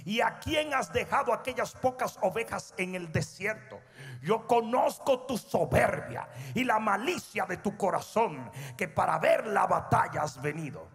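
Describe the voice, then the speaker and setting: A middle-aged male pastor preaching a sermon on a large church stage, swinging from hushed asides to shouted peaks and calling on the crowd to answer. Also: sits high, expressive, measured pace, vocal fry. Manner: prompts the crowd for responses. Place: large church stage before a seated audience. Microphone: handheld microphone. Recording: long hall reverb with faint music.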